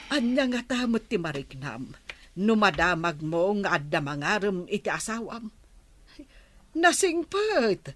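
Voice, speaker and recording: A slow, deliberate mother reproaching her grown son. She moralizes in radio drama style, in a dry, close studio sound. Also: medium pitch, dry recording. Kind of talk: Ilocano radio drama acting.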